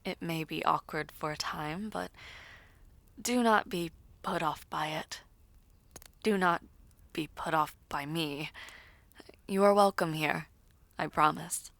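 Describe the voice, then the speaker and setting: Actress as composed fantasy noblewoman: lowish, creaky voice, formal, measured and reassuring. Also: a bit bright, no echo, full character voice. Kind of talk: fantasy audio roleplay acting.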